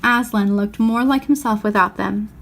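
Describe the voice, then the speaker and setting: A woman reading a children's novel aloud as expressive narration. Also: medium pitch, moderate pace, creaky. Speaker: storybook reader.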